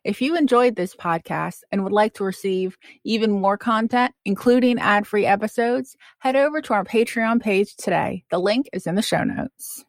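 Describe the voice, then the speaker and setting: A woman with a medium-pitched voice reads a scripted credits announcement. The sound is dry, without room echo. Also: moderate pace, hardly any pauses.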